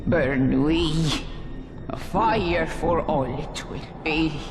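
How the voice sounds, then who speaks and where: An ancient, commanding forest spirit from a fantasy game. She chants cryptic, solemn riddles with long, drawn-out delivery. Her voice echoes through a reverberant space under music. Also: low voice. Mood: wrathful, foreboding. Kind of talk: cinematic fantasy game acting.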